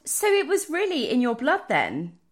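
A woman's voice: sits high, huge pitch swings, moderate pace. A woman hosting an interview, asking curious, chatty questions. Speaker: interviewer, host. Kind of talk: conversational interview.

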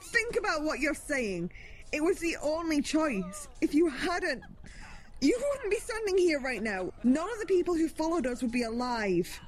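A woman's voice: high-pitched, sing-song, normal speed. A woman playing a determined, pragmatic fantasy hero in an audio drama, earnest and insistent. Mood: urgent, insistent. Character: determined, pragmatic female fantasy hero urging on a despairing comrade.

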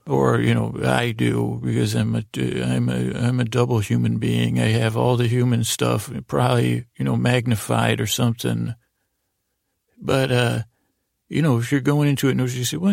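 A man hosting a bedtime story podcast in a lulling, meandering way, halting and stammering as he wanders off on tangents. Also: mid-range voice, vocal fry, no echo, studio quality. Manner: lulling, soothing delivery. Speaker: podcast host.

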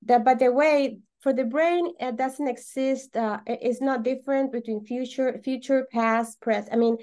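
A woman from Venezuela with a Venezuelan Spanish accent explains things as an interview guest, repeating words for emphasis in halting English. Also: high voice, fairly even intonation, mellow. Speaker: podcast guest, holistic coach.

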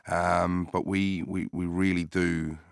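An extremely deep-voiced man who grew up in the UK speaks in a flat, level tone with a gravelly edge. He answers interview questions in a measured, hesitant way, filling pauses with ums. The recording is dry and close. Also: dry recording. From United Kingdom. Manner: measured and hesitant, many filler sounds. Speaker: company founder being interviewed. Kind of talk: business podcast interview.

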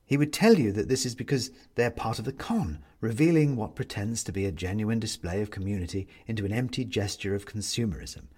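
A man narrating a literary story as an audiobook reader, measured and wry, with theatrical, deliberate phrasing. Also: lowish voice, a little mellow, breathy edge.

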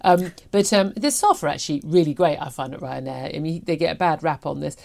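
A woman's voice: low voice, lively intonation, brisk, gravelly, darkish tone. A British woman in her fifties talking through the news as a talk show host, chatty, emphatic and opinionated. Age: 50s.

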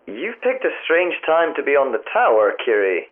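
A man's voice: mid-range voice, sing-song. Hesitant man on a phone line. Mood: wry, bemused. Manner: halting, vague, literal-minded, politely bemused. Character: hesitant, affable, wry man who tests the tower phones. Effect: telephone filter. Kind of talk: naturalistic audio drama dialogue. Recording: thin phone-line sound, music underneath.

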